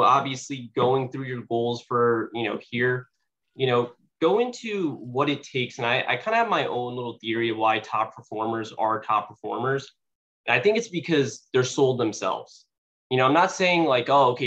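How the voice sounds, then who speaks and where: A young man giving a casual, bright-toned sales talk. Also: mid-range voice. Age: young adult. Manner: casual, many "you know" fillers. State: sniffly from allergies. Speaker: guest speaker at a sales meeting. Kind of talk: motivational speech. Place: meeting room. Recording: dull lo-fi recording.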